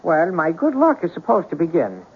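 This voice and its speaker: An actor playing a stubborn, gloomy, lovesick young Irish immigrant in a 1970s radio drama, indignant and stammering when flustered. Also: male voice, on the high side, huge pitch swings, moderate pace, slightly rough, full character voice. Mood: exasperated. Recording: dull, noisy old radio broadcast.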